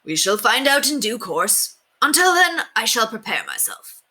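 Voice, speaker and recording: A woman's voice: on the high side, sing-song, normal speed, pushed and bright, breathy edge, voice pushed up, heavily acted. Woman playing a proud, pompous little knight. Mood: solemn. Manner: pompous, formal declarations. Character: proud, confident tiny knight, adult man.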